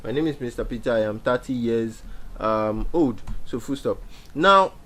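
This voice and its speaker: A man's voice: medium pitch, normal speed, fairly bright tone. A young man teaching a beginner programming lesson over a screen share, explaining step by step with frequent fillers and repeated phrases. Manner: explanatory, repeats key points with many fillers. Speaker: programming instructor. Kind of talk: screen-share coding tutorial.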